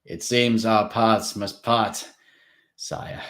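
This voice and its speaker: A man reading aloud, voicing a stern old male vampire who speaks in a formal, grave, measured way. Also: on the low side, steady tone, fairly bright tone. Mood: fond farewell.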